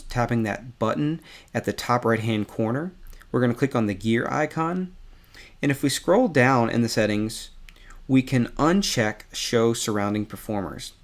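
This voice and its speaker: Man walking through a software tutorial. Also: mid-range voice. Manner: explanatory, conversational. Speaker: tutorial instructor. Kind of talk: step-by-step software tutorial narration.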